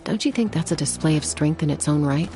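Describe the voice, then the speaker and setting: A woman playing a calm, dependable warrior in a video game, earnest and assured. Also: deep voice, brisk, soft background music. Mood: reassuring.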